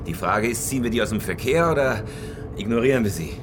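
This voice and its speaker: An actor playing a cool, terse professional robber in a radio drama, laconic and unflustered. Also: male voice, fairly low pitch, animated, fast talker, background noise, close to an everyday voice. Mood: alert, terse. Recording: music behind the voice.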